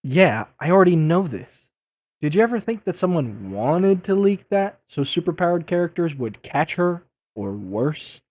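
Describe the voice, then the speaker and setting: Guy doing a young superhero dude in an audio drama, sarcastic n flustered, highish voice, really animated. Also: normal speed, no echo. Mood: defensive, sarcastic. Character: wisecracking, exasperated young male masked superhero. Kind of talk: audio drama dub acting.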